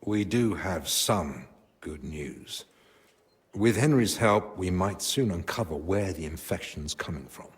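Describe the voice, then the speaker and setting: An actor playing a learned royal physician in a medieval game drama, speaking in a measured, diplomatic, educated way. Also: male voice, sits low, expressive. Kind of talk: dramatic video game cutscene acting.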